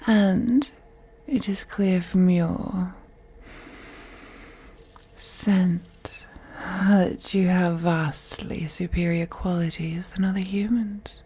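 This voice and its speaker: A woman playing a wealthy elder vampire in an intimate audio drama, soft and breathy, speaking in a teasing, condescending, seductive manner. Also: on the low side, steady tone, exaggerated voice. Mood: hungry, seductive. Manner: slow, teasing, condescending, drawn-out phrasing with breathy whispers.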